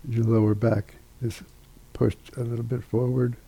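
Meditation teacher, older male, very dark mellow tone, husky, halting delivery with long pauses. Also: medium pitch, little pitch movement. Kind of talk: guided meditation instruction.

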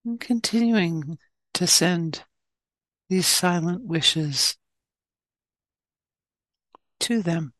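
Female meditation guide, gentle and very unhurried, slightly low register, dry close sound. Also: dry recording, rough recording. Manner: gentle, measured, with long pauses. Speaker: meditation teacher. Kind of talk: guided loving-kindness meditation.

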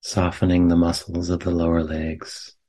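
A man leading a guided meditation as a teacher, speaking gently and unhurriedly in short phrases with long pauses. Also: low-pitched, monotone. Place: meditation center.

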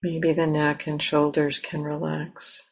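A woman leading a guided meditation as a teacher, speaking gently and unhurriedly with long pauses. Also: low voice, monotone, low-quality recording.

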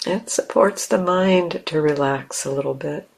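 A woman leading a guided meditation as a teacher, speaking gently in simple, unhurried phrases with long pauses. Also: sits low, creaky, breathy edge.

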